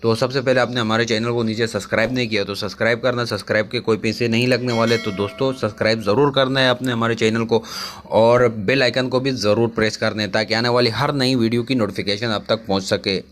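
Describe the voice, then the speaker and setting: A man's voice: medium pitch, fairly even intonation, fast, a bit bright. A man presenting a casual app tutorial as a YouTube host, chatting to viewers as friends.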